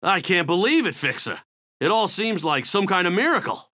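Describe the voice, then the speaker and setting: A man's voice: high voice, sing-song, moderate pace, bright, forceful tone, muffled recording, heavily acted. An actor playing an earnest, weary middle-aged boxer father in a vintage comic read-along record. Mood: amazed.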